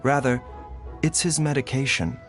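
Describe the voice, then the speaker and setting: An actor playing a calm, learned doctor in a video game, speaking in a measured, formal and gravely concerned way. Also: male voice, medium pitch, soft background music, natural voice. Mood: calm, clinical.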